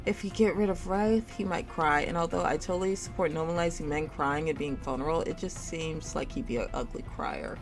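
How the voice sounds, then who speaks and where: A woman with a slightly low voice reads a dating game story aloud like a campfire storyteller. Her delivery is theatrical, moving between narration and character lines. Music plays behind her. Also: fairly quick, few pauses. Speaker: streamer reading a visual novel game aloud. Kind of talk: dramatic storytime reading of game dialogue, voicing characters.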